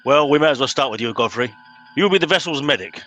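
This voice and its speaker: A man's voice: medium pitch, expressive, fast, fairly bright tone, soft background music. A man with an English accent playing a pompous, impatient middle-aged Home Guard captain in a comedy radio play. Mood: officious. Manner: officious, impatient.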